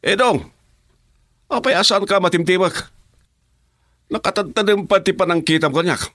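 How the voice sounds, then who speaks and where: A man's voice: high-pitched, moderate pace, a bit bright, no echo. An actor playing an argumentative, complaining henchman who answers to a gang boss in an Ilocano radio drama. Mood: needling.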